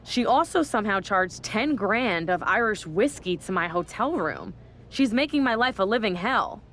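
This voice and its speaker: A woman's voice: mid-range voice, animated, normal speed, fairly bright tone, a little hiss or hum, close to an everyday voice. A woman playing a bossy wrestling general manager with a stern, commanding manner in a video game cutscene. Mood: exasperated, determined. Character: bossy, scheming female wrestling general manager, easily angered. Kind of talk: scripted game cutscene dialogue.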